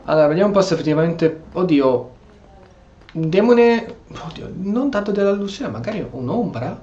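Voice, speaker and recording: A man's voice: high voice, animated, brisk, warm tone, lo-fi sound. A man with an Italian accent giving casual commentary and role-playing along as he plays a video game. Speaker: gameplay streamer.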